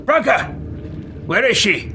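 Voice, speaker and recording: A man's voice: high voice, sing-song, slow pace, voice pushed up, exaggerated voice. Evil wizard for fantasy game roleplay, man acting: indignant, imperious, impatient, theatrical, over audible game noise. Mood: urgent, demanding. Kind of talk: role-playing aloud in a game stream.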